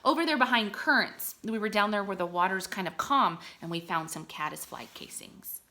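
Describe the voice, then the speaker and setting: A woman reads aloud in the manner of a teacher with young listeners. Her voice is bright and moves expressively up and down. She enunciates deliberately and explains things in simple terms. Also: medium pitch, lively intonation. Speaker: teacher reading aloud to children.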